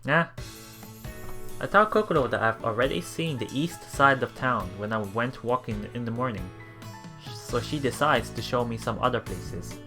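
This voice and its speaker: A man with a mid-range voice reads a visual novel aloud as a let's play host. He is halting, often stumbling and repeating words, and slips into casual asides. Music plays clearly behind him. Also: mid-range voice, expressive, pushed and bright.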